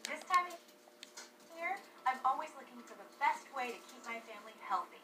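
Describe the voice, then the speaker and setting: A woman's voice: a little high, animated, normal speed, sharp tone. A woman reading scripted advert narration, heard faintly as playback from a device.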